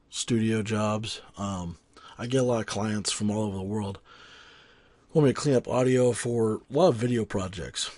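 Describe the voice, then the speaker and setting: A man with a somewhat low, husky voice walks through an audio tutorial while fighting a cold. He talks in a loose, rambling way, full of ums and restarts, and keeps it offhand rather than polished. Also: no room sound. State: has a cold, voice raspy. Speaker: sound engineer teaching a tutorial. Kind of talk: casual screen-recorded software tutorial.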